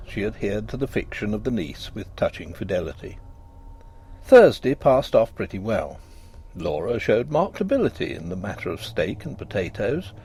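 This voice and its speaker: A man narrating a gothic ghost story in a measured, expressive reading. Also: mid-range voice, a little hiss or hum.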